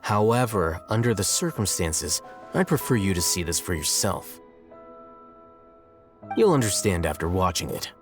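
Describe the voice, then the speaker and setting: An actor playing a composed, self-assured young scholar in a video game, speaking in a measured, dry, matter-of-fact way. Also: male voice, low voice, sing-song, soft background music.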